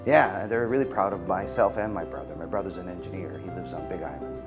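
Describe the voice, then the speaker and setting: A middle-aged man, a physician guest, answering interview questions in an earnest, halting way with many fillers. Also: low-pitched, loud music behind the voice. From United States. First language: Macedonian.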